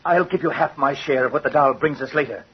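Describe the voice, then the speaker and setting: An actor playing a vengeful, gloating doll-maker in old-time radio horror, with a theatrical, menacing and mocking manner. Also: male voice, a little high, fast. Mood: demanding. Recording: husky, muffled old radio recording.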